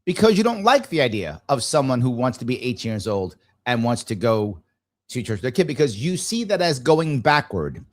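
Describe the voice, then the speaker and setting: A man's voice: medium pitch, very animated, normal speed. A man hosting a live talk show, giving blunt, argumentative commentary and repeating phrases emphatically to drive points home.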